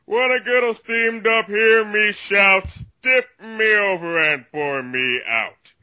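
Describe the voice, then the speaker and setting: A stern, grudging cartoon hard man, acted by a man, pitched fairly high for a male voice. The delivery is very sharp and forceful, slow and deliberate, with a gruff edge. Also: full character voice. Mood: grudging singing. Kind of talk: character voice in a fan table read.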